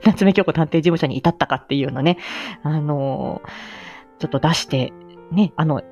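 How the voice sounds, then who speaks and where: A woman with a slightly low voice hosts a casual solo livestream, speaking in an effusive, humble way. The audio is a little dull and lo-fi, with music playing behind her. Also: normal speed, warm tone. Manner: effusive, humble, often laughing mid-talk. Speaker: livestream host and amateur voice actor.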